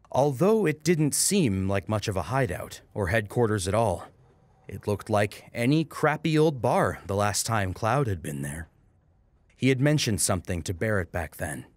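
A man's voice: on the low side, no echo. A man narrating a scripted story as a dramatic audiobook reader. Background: music.

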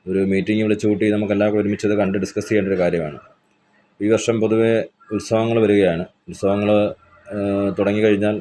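A man with a very deep, flat voice speaks as a police station officer making a formal announcement. He is measured and official, pausing on hesitant fillers. A faint background hiss sits under his voice.

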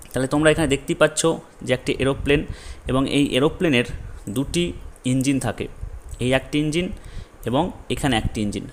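A man presents an informal explainer lesson, addressing viewers directly and repeating key terms in a didactic way. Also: mid-range voice. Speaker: educational video host, explainer.

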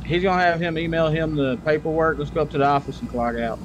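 A man's voice: on the high side, fairly even intonation, quick pace. A man talking casually in character during a role-play game. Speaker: role-play gamer playing a law officer. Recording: game voice chat with loud background noise.

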